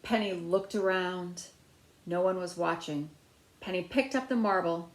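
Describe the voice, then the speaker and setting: A middle-aged woman reading a children's picture book aloud with deliberate phrasing. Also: lowish voice, slight background noise. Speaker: storybook reader. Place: small room at home by a window, indoors. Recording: roomy, echoey indoor sound.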